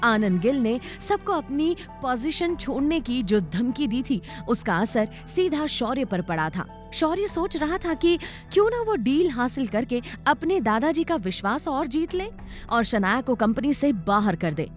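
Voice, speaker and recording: A woman narrates a drama serial with dramatic flair. Her voice is fairly high and very animated, over a soft music bed. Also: unhurried. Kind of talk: dramatic scripted story narration.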